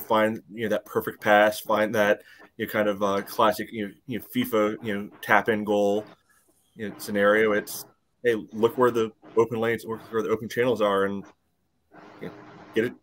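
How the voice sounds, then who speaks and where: Low-voiced man rambling through sports analysis. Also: little pitch movement, fairly quick, a bit bright, slight background noise. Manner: rambling, peppered with "you know". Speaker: podcast co-host.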